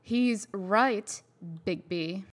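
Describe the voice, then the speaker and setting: A woman's voice: medium pitch, very slow. A woman playing a proud, composed fairy-tale heroine holding back worry, formal and firm in a comic dub drama.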